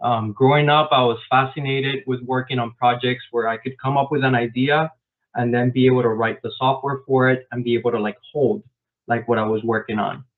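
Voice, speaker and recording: A man's voice: medium pitch, fairly even intonation, a little fast. Man on a tinny call, explaining. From grew up in Miami, Florida. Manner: explains step by step with many "um" fillers. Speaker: guest software developer. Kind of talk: conversational interview answers. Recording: tinny phone-like online call.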